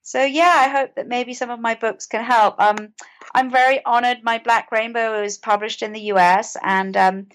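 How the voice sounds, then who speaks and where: A woman's voice: a little high, steady tone, moderate pace, fairly bright tone, rough recording. A woman with a British accent speaking as an interview guest, earnest and conversational, often repeating words as she goes. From United Kingdom. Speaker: podcast guest, author and mental health advocate.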